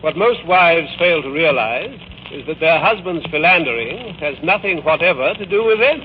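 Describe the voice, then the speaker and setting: A man's voice: on the high side, animated, moderate pace, natural voice. An actor playing a haughty, philandering older father in 1940s radio drama, pompous, dry and formal, coolly cutting toward his daughter. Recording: noisy, dull old 1940s radio recording.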